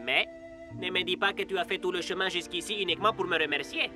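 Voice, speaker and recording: A man voicing a gruff, teasing training mentor in an animated series. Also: sits high, fast, over music, lightly acted. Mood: gruff, amused. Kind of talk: French animated series dub acting.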